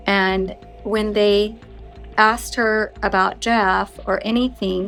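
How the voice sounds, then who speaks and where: A woman's voice: medium pitch, gravelly, a bit bright. An American woman being interviewed, retelling what happened in a blunt, conversational way. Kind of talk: conversational personal recollection.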